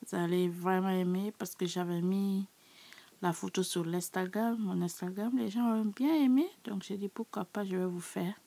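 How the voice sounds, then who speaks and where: A woman with a sore, hoarse throat, chatting casually to her subscribers as she walks through a recipe in her kitchen. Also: mid-range voice, fairly even intonation, moderate pace, warm tone. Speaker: cooking channel host. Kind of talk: casual cooking tutorial.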